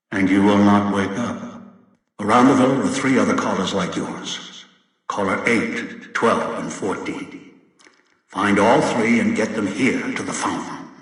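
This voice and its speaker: A menacing old captor, booming echo. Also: male voice, fairly bright tone. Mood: grim instructing. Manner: commanding, deliberate, menacing. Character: cold, controlling old villain holding people captive. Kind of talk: video game voice acting. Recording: very echoey, distant, long reverb.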